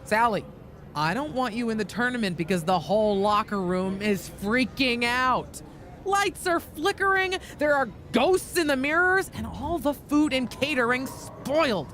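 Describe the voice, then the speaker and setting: A woman's voice: fairly high pitch, expressive, a little slow, a bit bright. Blunt, exasperated female boss. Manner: blunt, sardonic. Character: exasperated, blunt female wrestling show booker. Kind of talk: naturalistic video game dialogue. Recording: faint background ambient noise.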